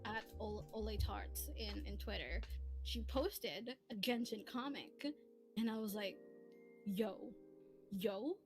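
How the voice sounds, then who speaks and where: A woman hosting a casual livestream chat, talking in an animated, giggly, rambling way as a hobby voice actor. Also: medium pitch, slow pace, poor audio. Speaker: streamer and host who voices comic dubs. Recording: lofi music playing behind. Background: soft lofi background music.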